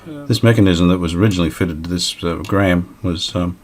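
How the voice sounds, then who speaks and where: A man's voice: low voice, brisk, slight background noise. A man giving casual, plain-spoken narration of a hands-on restoration job, explaining it step by step without hurry. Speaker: restoration hobbyist presenting his own video.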